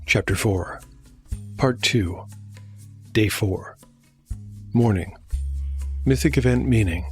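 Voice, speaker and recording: A man narrating and hosting a fantasy audio drama podcast, reading from a script. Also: lowish voice. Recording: faint music underneath. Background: faint music.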